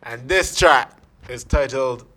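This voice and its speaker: A man's voice: mid-range voice, slow, sharp tone, rough recording. A man with a Bajan accent from Barbados, hosting a music countdown with upbeat hype. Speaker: radio co-host. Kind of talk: casual countdown chat.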